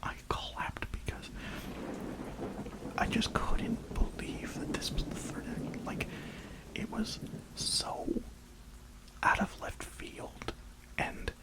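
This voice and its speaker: A reviewer whispering an enthusiastic, rambling ASMR film review in a breathy, unvoiced voice. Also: slow pace, long pauses. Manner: enthusiastic, rambling, emphatic repetition.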